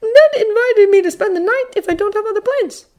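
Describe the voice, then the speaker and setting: An excitable teenage boy from an audio story, acted out by a woman narrator. The voice sits very high and leaps around with bashful eagerness, hesitating and then rambling on. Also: fairly quick.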